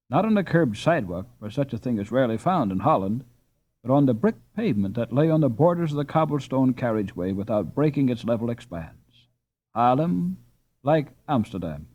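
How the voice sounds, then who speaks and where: A man narrating a children's classic audiobook in a measured, unhurried reading. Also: mid-range voice, mellow. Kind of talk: scripted storybook narration.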